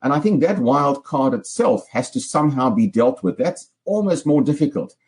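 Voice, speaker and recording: A South African man speaking as an analyst in an interview, articulate and measured, building long, careful sentences. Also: medium pitch, very animated, a little mellow. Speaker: political analyst guest. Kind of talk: interview commentary.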